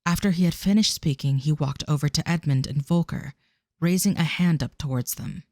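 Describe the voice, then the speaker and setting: A woman's voice: low voice, brisk, darkish tone, no room sound, studio quality. A woman narrating a scripted audio drama as a storyteller.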